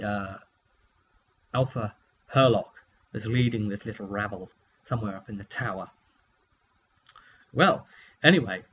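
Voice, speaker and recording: A man's voice: medium pitch, fairly bright tone. A man casually telling the story of a roleplaying game session in a rambling, wry way, acting out short quotes as he goes. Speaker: game master recapping a tabletop session. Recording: dull lo-fi mic with hum.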